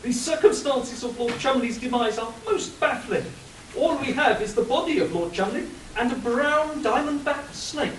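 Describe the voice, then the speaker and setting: A man's voice: very high voice, animated, normal speed, a little hiss or hum. A man playing an earnest, polite police inspector in a comic radio play, speaking formally and urgently. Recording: echoey room, distant mic.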